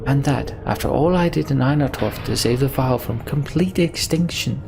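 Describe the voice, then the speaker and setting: A man's voice: medium pitch, normal speed, vocal fry, voice pushed up, lightly acted. A man voicing a weary, bitter fantasy game mage who speaks in a reproachful, pleading way. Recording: game music behind the voice.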